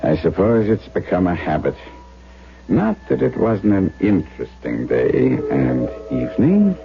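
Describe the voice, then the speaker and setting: Casting: middle-aged physician, resigned and wry, very low voice, dull old radio recording with music behind. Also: male voice, very animated, a little slow, gravelly, exaggerated voice. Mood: weary, reflective. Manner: wry, weary. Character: resigned, patient middle-aged male German doctor. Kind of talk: 1970s radio drama acting.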